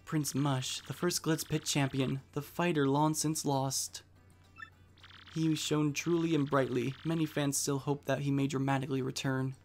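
Man doing a flowery, lovelorn French gentleman. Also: mid-range voice, flat delivery, moderate pace, lightly acted. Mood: wistful. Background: game music.

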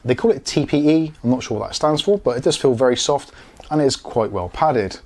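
A man's voice: mid-range voice, brisk, darkish tone. A British man in his forties giving a chatty, explanatory product review in a casual presenter style. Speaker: host of a product review channel. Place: small home studio room, indoors.

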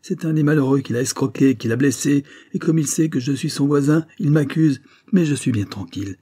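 A man's voice: moderate pace, dark tone. A man voicing an irascible, spluttering older former lawyer with a harsh voice, in an audiobook story. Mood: shrill indignation. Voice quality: harsh, rasping, shrill when angry. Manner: spluttering, outraged.

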